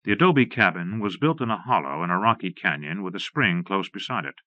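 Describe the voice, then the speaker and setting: A man's voice: sits low, lively intonation, fairly quick, no room sound. A man reading scripted western narration for an audiobook, recorded close and dry on a slightly dull cassette.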